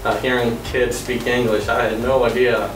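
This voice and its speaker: A man in his forties telling his own story haltingly in an interview, with many fillers. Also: mid-range voice, little pitch movement. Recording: roomy, distant mic, music underneath. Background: music.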